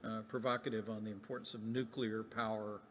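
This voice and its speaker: A man moderating a government meeting, speaking in a halting way with many fillers between speakers. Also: on the low side, little pitch movement, slight background noise. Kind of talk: moderating remarks. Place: large museum hall. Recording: distant mic in a reverberant hall with crowd.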